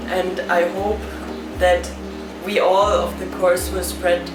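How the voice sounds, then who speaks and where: A woman's voice: on the low side, little pitch movement, slow pace. A woman from Germany, a yoga student, earnestly praising her teacher in a testimonial. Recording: echoey, distant, guitar behind.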